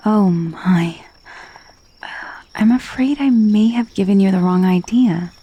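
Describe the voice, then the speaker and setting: A woman playing a gentle but possessive, wealthy vampire mistress in an intimate ASMR roleplay, speaking softly and soothingly, often dropping into a whisper. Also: mid-range voice, takes it slowly, dry recording. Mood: soothing, welcoming. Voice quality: soft, breathy. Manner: soothing, genteel, hushed, often slipping into whisper. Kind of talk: ASMR roleplay, intimate soft-spoken monologue. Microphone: close, dry microphone.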